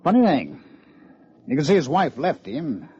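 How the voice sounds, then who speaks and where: An American actor playing a dry, needling police lieutenant with a sardonic manner in an old-time radio detective drama. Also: male voice, fairly high pitch, sing-song, normal speed, warm tone, slightly rough, heavily acted. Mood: puzzled, investigating. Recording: muffled old radio recording.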